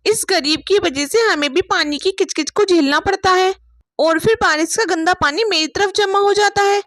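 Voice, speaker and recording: Haughty rich young bride played by a woman, very high voice, dry recording, taunting and spiteful, moral-story audio. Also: moderate pace, fairly bright tone, dry recording. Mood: annoyed, bossy. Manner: taunting, sneering, dramatic.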